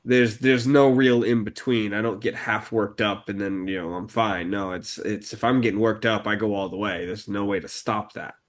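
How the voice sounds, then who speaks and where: A man co-hosting a podcast, talking slowly and deliberately in a casual chat, with frequent fillers and restarts. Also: fairly low pitch, fairly even intonation. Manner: halting, many fillers and restarts, deliberately slowed speech. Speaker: podcast co-host. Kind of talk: casual conversational podcast talk.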